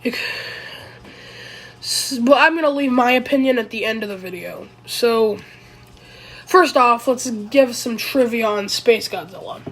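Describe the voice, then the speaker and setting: A woman with a sick, raspy voice, pitched a little high, talks slowly through a casual commentary video. She rambles, hesitates and keeps correcting herself. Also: fairly bright tone. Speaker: video creator.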